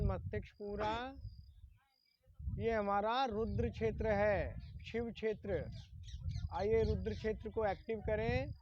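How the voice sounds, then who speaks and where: A man leading a guided relaxation meditation as a yoga instructor, giving instructions and naming body parts in short phrases. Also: sits high. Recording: dull lo-fi sound in echoey room.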